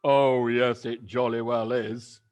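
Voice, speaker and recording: A man voicing a cruel, gloating old husband who taunts slowly and mockingly. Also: mid-range voice, flat delivery, darkish tone, highs cut off, lightly acted. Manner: slow, drawn-out and mocking.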